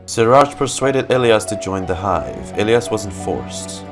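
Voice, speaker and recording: Male game reactor, casual and sweary, low register with animated swings. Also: unhurried. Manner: blunt, sweary, sardonic. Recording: game music behind the voice.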